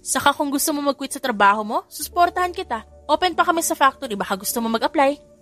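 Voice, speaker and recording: A woman's voice: high-pitched, fast talker, soft background music, voice pushed up. A woman voicing a cheerful, curious young woman who questions her tired friend. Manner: lively, inquisitive.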